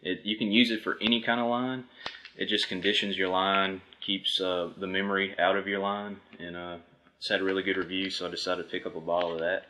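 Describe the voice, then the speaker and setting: Deep-voiced man, casual unboxing talk. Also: flat delivery, a little fast, pushed and bright. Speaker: fishing video host. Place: indoors at a table before a backdrop.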